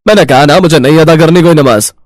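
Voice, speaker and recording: A man's voice: a little high, fast talker, no echo, lightly acted. A man playing a hot-tempered fourteen-year-old elder brother in a children's cartoon story, irritable and snapping. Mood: irritated. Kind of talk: children's animated moral story acting.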